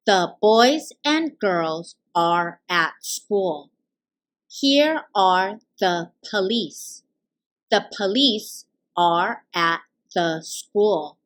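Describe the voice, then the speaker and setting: A woman reading a children's early reader aloud, deliberately enunciating each word as a narrator. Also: mid-range voice, a bit bright. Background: background music.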